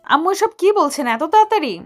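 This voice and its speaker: Romance audio role: a woman playing a bashful, loving young doctor wife, coy and high-pitched, with music underneath. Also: sing-song, a little fast. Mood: bashful protest. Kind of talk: romantic audio story acting.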